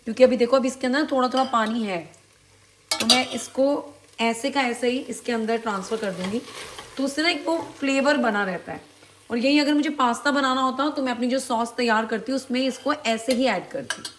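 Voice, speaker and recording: A woman's voice: on the high side, brisk, a bit bright, slight background noise. Chatty woman vlogging while cooking. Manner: chatty, mixes English phrases into Hindi. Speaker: lifestyle vlogger. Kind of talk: casual chat to camera. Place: home kitchen while cooking.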